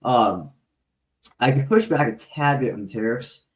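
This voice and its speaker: A man of twenty-eight hosting a podcast interview, talking in a relaxed, rambling, chatty way. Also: mid-range voice, a little slow. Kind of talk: casual interview chat. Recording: roomy echo.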